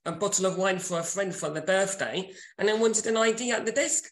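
Man, 40s, enthusiastic podcast chat, gravelly, echoey room. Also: high-pitched, fairly quick, a bit bright. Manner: enthusiastic, chatty. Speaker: podcast co-host and author. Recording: roomy echo.

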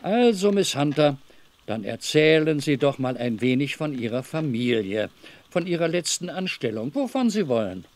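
Overly cordial gentleman with veiled menace. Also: a little high, very animated, normal speed. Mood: jovial, fussy. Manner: wordy, hesitant, patronizing. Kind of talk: German radio drama acting.

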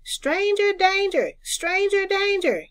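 A woman voicing an eager, naive, excitable little boy in a children's storybook. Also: extremely high pitch, takes it slowly, pitched above the performer's own voice, heavily acted. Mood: alarmed. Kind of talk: children's storybook read-aloud.

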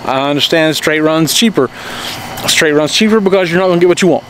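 Man, 30s, homestead vlogger, backyard selfie-cam, slightly high voice, faint outdoor hum. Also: fairly quick, fairly bright tone, rough recording. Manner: rambling, frequent restarts and "okay?" checks. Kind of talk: casual explainer vlog. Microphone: handheld camera at arm's length.

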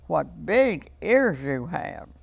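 A woman's voice: lowish voice, expressive, moderate pace, vocal fry. A woman voicing an innocent, trusting little girl in a storybook, earnest and wide-eyed. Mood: amazed.

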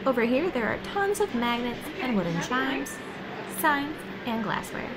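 Lively high-voiced woman vlogging a town walk. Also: a little slow, over a noisy crowd. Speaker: travel vlogger. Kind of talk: narrating a travel vlog. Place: outdoors and in shops, walking. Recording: loud street and shop ambience.

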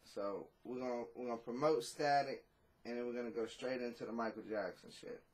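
Blunt, profane rapper on a video call. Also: male voice, medium pitch, fairly quick, darkish tone. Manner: blunt, profane, laid-back. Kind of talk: casual interview on a video call. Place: small room at home, indoors.

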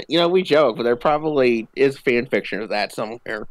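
A man's voice: mid-range voice, lively intonation, brisk. A man joking around casually as he plays a co-op game, often chuckling as he talks. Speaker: co-op gaming video player. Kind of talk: casual joking gaming banter.

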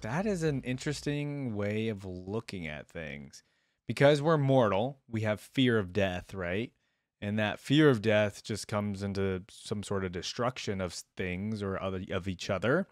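A man's voice: on the low side, lively intonation, unhurried. A man in his twenties giving casual, musing reaction commentary into a close desk microphone. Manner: musing, short interjections, echoes what he hears. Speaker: reaction video creator.

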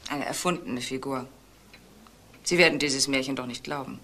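A woman's voice: a bass voice, normal speed, bright, forceful tone. An actress playing a guarded, dismissive married woman in a crime drama. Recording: clear background noise with clicks.

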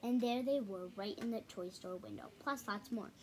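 A young girl of about ten to twelve reading a children's picture book aloud haltingly, with stumbles, repeats and casual asides. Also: fairly high pitch, slight background noise. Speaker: storybook reader. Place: small living room at home, indoors.

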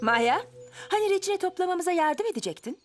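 A fretful lady bee schoolteacher from a children's cartoon. Her voice is extremely high, strict and earnest, with an anxious edge, over clear music. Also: sing-song, a little fast. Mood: reproving. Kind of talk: dubbed children's cartoon acting.

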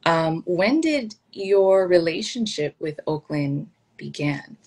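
A young woman hosts a podcast interview from a home office over a webcam. Her voice is low for a woman, and she affirms her guest and then asks open, thoughtful questions. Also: expressive, slow pace, darkish tone. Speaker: podcast host. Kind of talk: interview over video call. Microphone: video call webcam.

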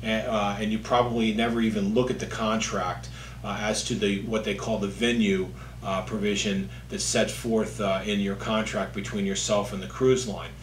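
A man in his fifties, a lawyer, explaining things to camera in a halting, conversational way in an office. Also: on the low side, flat delivery. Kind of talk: informal legal explainer to camera. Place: indoor office, seated at a distance from the camera. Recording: echoey room with faint hum.

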